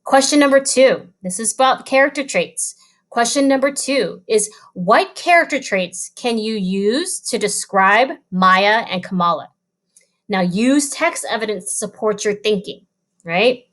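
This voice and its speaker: A woman's voice: a little high, lively intonation, a little slow, a bit bright. A woman schoolteacher giving an informal recorded lesson, talking pupils through questions step by step. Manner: instructive, directly addressing pupils, step by step. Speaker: elementary school teacher.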